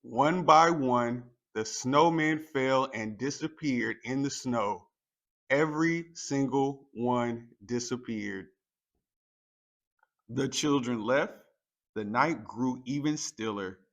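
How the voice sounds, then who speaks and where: Slow-paced man reading a children's story. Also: medium pitch, creaky, lo-fi sound. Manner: deliberate, expressive storytelling for young children. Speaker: storytime reader. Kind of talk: children's picture book read-aloud, scripted narration.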